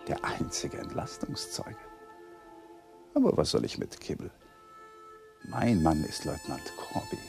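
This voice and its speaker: An actor playing a brooding, calculating young lawyer thinking aloud in a radio drama. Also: male voice, low-pitched, huge pitch swings, unhurried, creaky, pauses often, over music, heavily acted. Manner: musing, self-justifying. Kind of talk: radio drama inner monologue.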